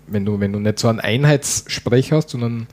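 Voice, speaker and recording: A man's voice: medium pitch, fairly quick, a little mellow. A man with an Austrian German accent and a rolling R, chatting casually as a podcast co-host, his dialect drifting in and out as he talks. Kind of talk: casual chat.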